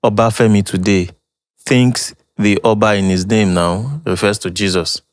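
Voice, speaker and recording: A man's voice: on the low side, steady tone, normal speed, no room sound. Guy explaining stuff on a podcast, kinda rambly, dry close sound. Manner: explanatory, laughs often, rambling restarts. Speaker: guest storyteller and historian. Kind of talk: podcast conversation.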